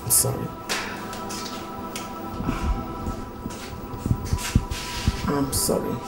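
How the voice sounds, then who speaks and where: A woman's voice: a little fast, lightly acted. A woman playing a blunt teasing aunt. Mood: apologetic. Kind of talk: Nollywood film acting. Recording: film soundtrack with loud background music.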